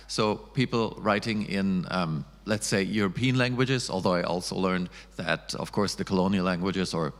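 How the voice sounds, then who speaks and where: A man whose first language is German moderates a panel in English with a fairly low voice. He speaks in a measured, hesitant way, filling pauses with many ums. A long reverb from the hall trails behind his words. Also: fairly bright tone. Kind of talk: panel discussion on stage. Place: festival stage in a reverberant hall.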